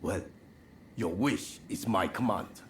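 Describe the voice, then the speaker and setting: A man's voice: lowish voice, slow, slight background noise. A man playing a frank, informal chief in a samurai video game, with a blunt way of talking. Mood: frank, agreeable. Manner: blunt, informal, plain-spoken. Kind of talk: video game cutscene acting.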